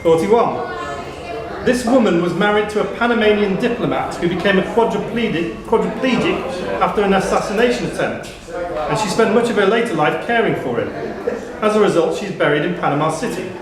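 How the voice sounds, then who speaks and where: High-voiced male quiz master in echoey pub. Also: lively intonation, fairly quick. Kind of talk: live pub quiz question reading. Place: indoor pub quiz venue. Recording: echoey crowded pub room. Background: clear crowd chatter.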